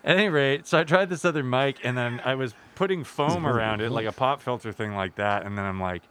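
A young man with a deep voice, a musician guest on a podcast, telling stories in an animated way and laughing often. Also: brisk, slight background noise. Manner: animated storyteller, laughs often, jumps into high voices for quotes. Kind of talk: casual podcast chat.

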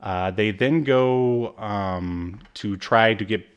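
Halting, low-voiced man chatting casually. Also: clear, resonant tone, highs cut off. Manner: halting, searching through notes. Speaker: podcast co-host. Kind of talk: casual chat recapping a TV episode.

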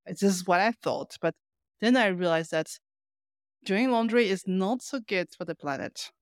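A woman's voice: mid-range voice, expressive, normal speed, no echo, clean studio sound. A woman co-hosting a podcast in casual conversation, explaining things hesitantly and often repeating words as she goes. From lives in Paris. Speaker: podcast co-host.

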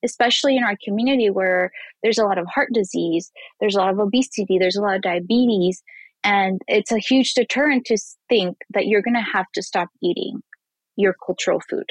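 A woman from Mexico chats as a guest chef, telling her stories with animation and acting out the voices of people she quotes. Her voice sits in an ordinary middle pitch. The recording is dry and close, with no room sound. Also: brisk, no echo, poor audio. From Mexico, raised on the Texas border. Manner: animated storyteller who acts out quoted voices and laughs often. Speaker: vegan chef and food blogger, guest. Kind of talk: casual interview conversation.

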